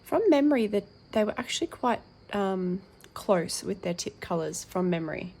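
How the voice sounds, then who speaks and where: A woman with a croaky, tired voice hosting her colouring channel, chatting casually with lots of ums as she reads out packaging and unboxes. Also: medium pitch, expressive, moderate pace, a little mellow. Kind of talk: casual tabletop unboxing chat.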